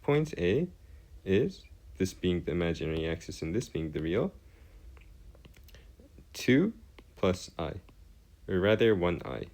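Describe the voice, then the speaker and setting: A man tutoring in an improvised explanatory lesson, with formal wording and halting, self-correcting delivery. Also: sits low, sing-song, a little mellow. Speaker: math tutor.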